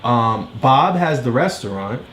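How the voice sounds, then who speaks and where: A man tutoring a child, casually explaining a storybook in simple, halting steps. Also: mid-range voice, animated, fairly bright tone, a little hiss or hum.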